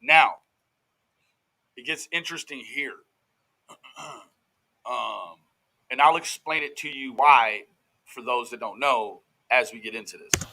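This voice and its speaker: A man's voice: fairly high pitch, bright, forceful tone, rough recording. A man giving casual, profane reaction commentary as host of a true crime show, in a brash, folksy, slangy way.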